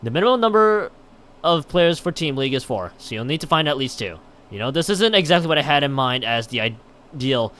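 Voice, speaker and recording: A man's voice: fairly high pitch, animated, brisk, fairly bright tone. A man livestreaming, chatting and reading a visual novel aloud, often stumbling over and rereading his lines. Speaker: live streamer.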